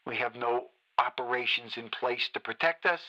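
A frantic male radio DJ rants and pleads to his listeners in a high voice. The tone is sharp and very bright. It sounds like a radio drama. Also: normal speed, highs cut off, full character voice. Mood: despairing. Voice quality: ranting, pleading. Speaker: radio host.

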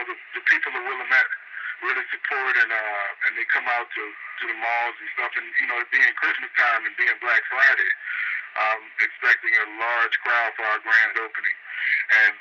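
A man being interviewed, with a laid-back, halting delivery full of fillers and restarts. His voice comes through a muffled, lo-fi phone line. Clear background noise sits under his voice. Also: medium pitch, pushed and bright. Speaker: guest store and nightclub owner. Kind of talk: casual radio interview.

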